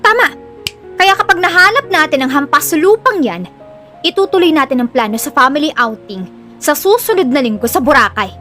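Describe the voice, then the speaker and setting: A woman voicing a vicious, angry, scheming mother in an audio drama, shrill and menacing. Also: lively intonation, normal speed, sharp tone. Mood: plotting. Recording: music under the voice.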